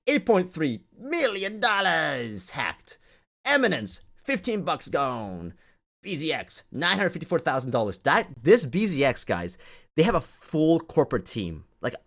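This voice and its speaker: Animated man on an exasperated rant. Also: mid-range voice. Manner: emphatic, repeats phrases for effect. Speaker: crypto community host. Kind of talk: impassioned off-the-cuff rant to an online audience.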